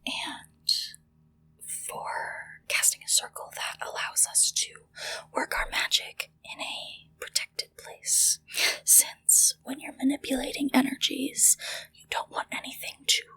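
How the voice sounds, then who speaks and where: A woman whispering gently and deliberately into a close microphone, leading a ritual in ASMR style with hushed pauses. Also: high voice, level tone, gravelly, low-quality recording. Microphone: close-up sensitive microphone.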